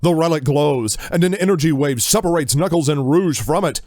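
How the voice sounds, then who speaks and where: Higher-voiced male drama narrator. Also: fairly quick, darkish tone, no room sound, clean studio sound. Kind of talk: scripted audio drama narration.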